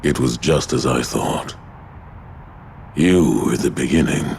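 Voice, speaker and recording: An actor playing a huge, weary god of discord in a video game, speaking in a brooding, solemn, sorrowful and halting way. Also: male voice, a bass voice, creaky, a little mellow, a little hiss or hum, heavily acted. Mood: grim resignation. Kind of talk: dramatic video game voice acting.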